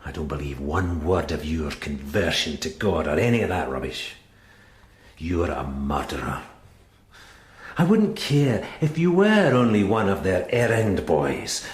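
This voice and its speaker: An actor playing a hard, contemptuous British police sergeant in a radio crime drama, blunt, goading and threatening. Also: male voice, deep voice, sing-song, measured pace. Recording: roomy, echoing interior.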